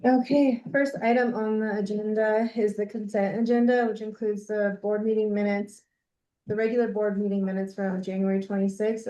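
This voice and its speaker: A woman chairing a public board meeting in a brisk, procedural way while losing her voice. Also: mid-range voice, dark tone, echoey room, poor audio.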